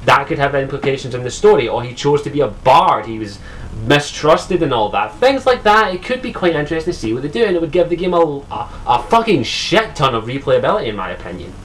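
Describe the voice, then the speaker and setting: A man's voice: medium pitch, very animated, quick pace, slight background noise, low-quality recording. A young man from Scotland with a Scottish accent, talking casually and profanely about a game, full of ums and restarts. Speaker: gaming vlogger. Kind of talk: casual solo commentary.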